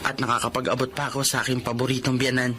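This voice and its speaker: Young Filipino husband played by a man, hardworking, respectful, hopeful, medium pitch, radio drama with prominent music bed. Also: completely flat delivery, fast talker, lightly acted. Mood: content, reflective.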